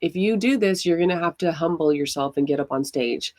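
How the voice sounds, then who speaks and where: A woman's voice: low-pitched, a little fast, a little mellow, no room sound, studio quality. A woman from Hawaii, a podcast guest, telling her own story frankly and casually, with lots of fillers. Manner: frank, conversational, many fillers. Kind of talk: candid personal storytelling.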